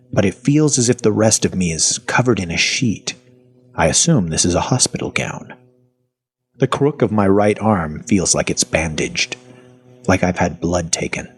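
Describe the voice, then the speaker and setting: An actor playing a weary, sardonic captive who narrates his own horror story in wry, deadpan, clipped phrases with a husky voice. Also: fairly low pitch. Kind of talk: first-person audio fiction narration.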